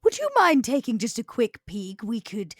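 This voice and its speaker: A woman playing a poised, calculating vampire leader in a tabletop game, speaking in a measured, genteel, politely commanding way. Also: fairly high pitch, big pitch swings, no room sound, studio quality. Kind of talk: improvised tabletop role-play.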